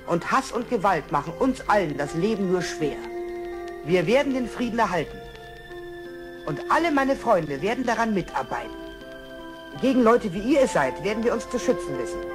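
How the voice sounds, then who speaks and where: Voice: mid-range voice, big pitch swings, normal speed, lightly acted. An actor playing an earnest, noble young lion leader in a children's cartoon radio play. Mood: solemn, earnest. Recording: old radio play with music behind.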